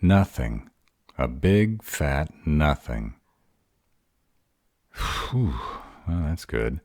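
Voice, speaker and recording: A man voicing a gruff, bashful were-dragon in human form, hesitant, earnest and tender in an intimate romantic audio roleplay. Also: very deep voice, sing-song, very slow, darkish tone, no echo, clean studio sound, full character voice. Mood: bashful, relieved.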